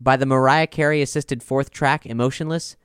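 Smooth-voiced man reading a scripted review. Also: medium pitch, fairly even intonation, normal speed, fairly bright tone, dry recording, clean studio sound. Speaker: reader of album reviews. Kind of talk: scripted narration of a music review.

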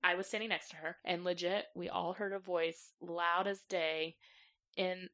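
A woman's voice: fairly low pitch, steady tone, moderate pace, pushed and bright. A woman co-hosting a podcast, chatting casually and recounting ghost hunts in an informal, emphatic way. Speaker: podcast co-host.

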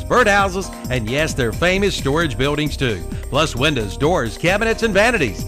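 An American man announces on local radio in a fairly high voice. He reads scripted promos and ads. A light music bed runs behind him.

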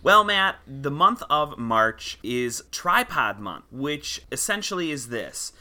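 A man's voice: mid-range voice, very animated, slow pace, pushed and bright. A man co-hosting a film podcast, chatting casually and playfully. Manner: playful, breaks into goofy old-timey voices. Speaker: podcast co-host.

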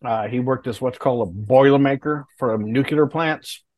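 A man's voice: mid-range voice, normal speed, darkish tone, dry recording. A man in his late fifties, a guest talking candidly and plainly in a casual interview. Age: 50s. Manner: candid, plainspoken, laughs between hard stories.

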